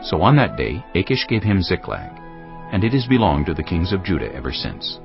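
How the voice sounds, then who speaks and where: Very deep male narrator, expressive. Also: fairly quick, vocal fry, dull audio, over music. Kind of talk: scripted dramatic scripture narration.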